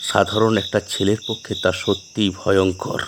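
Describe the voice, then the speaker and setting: A man's voice: low voice, flat delivery, moderate pace, background noise. A man narrating a scripted horror story in a dramatic storytelling style.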